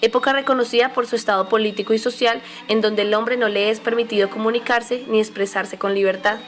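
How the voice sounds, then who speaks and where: A woman's voice: a little high, steady tone, a little fast, soft background music. A woman reading scripted narration for a radio drama.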